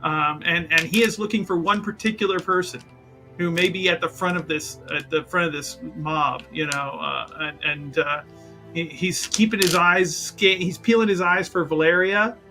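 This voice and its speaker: A man casually narrating his character's actions as a tabletop roleplaying player, in an animated, halting, jokey way. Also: high voice, over music.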